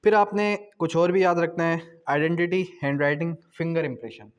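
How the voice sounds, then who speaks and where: A man's voice: a little high, a little fast, clear, resonant tone. A man giving an explanatory exam-prep lecture, didactic, asking and answering his own questions and repeating key phrases. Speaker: law lecturer.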